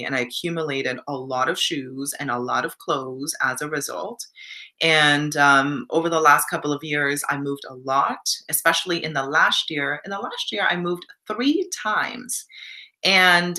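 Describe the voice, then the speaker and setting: Low, bright-toned Canadian woman chatting. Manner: warm and reflective, reads chat comments aloud. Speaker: live stream host. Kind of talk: casual live stream chat.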